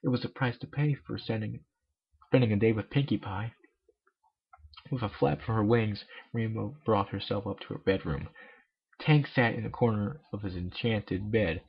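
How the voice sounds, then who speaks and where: A man's voice: on the low side, normal speed, vocal fry, husky. A man reading a story aloud as an amateur, with sarcastic, joking commentary. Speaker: amateur fanfiction reader. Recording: dull lo-fi home recording.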